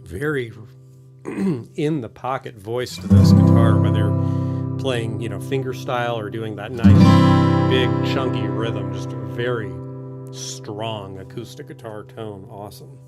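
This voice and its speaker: A man's voice: on the low side, fairly even intonation, measured pace. A man hosting a live-stream guitar demo from a guitar shop, talking through each instrument in an upbeat sales patter. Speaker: guitar showcase host. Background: acoustic guitar playing.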